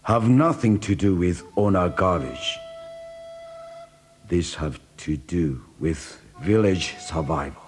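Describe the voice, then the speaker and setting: Deep, slow old Japanese-accented master. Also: male voice, lively intonation, soft background music. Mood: calm, resolute. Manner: terse clipped broken English. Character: old Okinawan karate master, wise, terse, protective. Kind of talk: audio drama acting.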